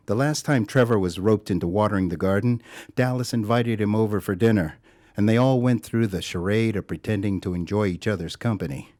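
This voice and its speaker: An actor as the narrator of an audio drama, telling a scripted story in a wry, sardonic way. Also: male voice, sits low, a little mellow, close to an everyday voice.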